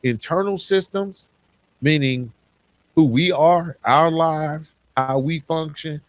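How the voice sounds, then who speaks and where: A man's voice: fairly high pitch, slow pace, no room sound, poor audio. Man hosting a reflective solo podcast. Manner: conversational, emphatic, repeats phrases for emphasis. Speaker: podcast host.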